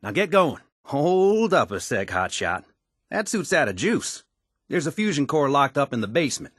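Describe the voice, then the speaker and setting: Lively, folksy wasteland handyman. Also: a little high, moderate pace, dry recording, clean studio sound. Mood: wry, assured. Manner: folksy, colloquial, easygoing phrasing. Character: down-to-earth male wasteland handyman, folksy, modest, wry. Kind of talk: video game character dialogue.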